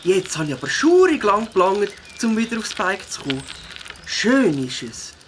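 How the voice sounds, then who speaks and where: An eager little beaver biker from a children's audio play, acted by a woman. The delivery is expressive and very animated, with a Swiss German accent. Faint outdoor ambience and birdsong sit behind the voice. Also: medium pitch, moderate pace, slight background noise, natural voice. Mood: delighted. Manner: expressive, talking to self. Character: plucky little beaver, keen young mountain biker.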